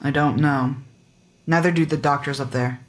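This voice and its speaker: A woman's voice: low-pitched, steady tone, moderate pace, lightly acted. A woman playing a blunt, skeptical small-town sheriff with a curt, demanding manner, in a naturalistic audio drama. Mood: grim. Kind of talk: naturalistic audio fiction podcast acting.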